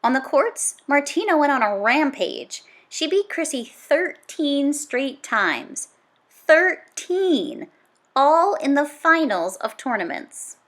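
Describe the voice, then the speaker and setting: A woman with a fairly high, highly animated voice reads a children's book aloud. Her delivery is dramatic and emphatic, swinging up and down in pitch and leaning hard on key words for effect. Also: takes it slowly. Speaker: storybook read-aloud reader. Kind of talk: expressive read-aloud narration of a children's nonfiction book.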